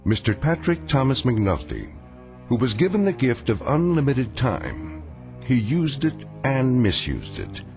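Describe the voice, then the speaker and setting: Omniscient radio drama narrator, portentous and grave, animated low delivery with prominent music. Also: male voice. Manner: measured, portentous. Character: grave omniscient male narrator of eerie tales.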